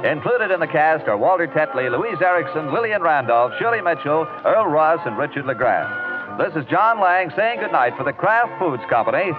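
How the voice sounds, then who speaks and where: A male announcer delivers 1940s scripted radio copy in a fairly high, lively voice. The sound is thin and phone-like, an old broadcast with music behind. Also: normal speed.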